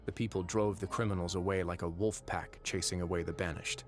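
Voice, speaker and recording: An actor playing a composed, wry young duke in a video game, speaking in a deliberate, measured storytelling manner. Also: male voice, low-pitched, steady tone, faint music behind, lightly acted. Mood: calm, measured. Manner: deliberate, dryly wry, unhurried. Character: composed, wry young duke who runs an underwater prison fortress.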